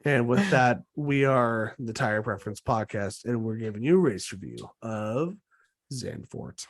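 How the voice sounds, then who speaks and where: A young man hosts a loose podcast conversation, still getting over a cold, with a cough now and then. He tells stories with animation and swears freely. His voice sits at a middle pitch. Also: a little slow. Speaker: podcast host. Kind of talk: casual chat.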